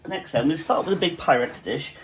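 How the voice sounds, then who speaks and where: A man's voice: on the high side, expressive, a little fast, very noisy. Chatty Welsh man teaching a recipe. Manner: chatty, with joking asides. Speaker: cafe cook. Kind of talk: cooking tutorial.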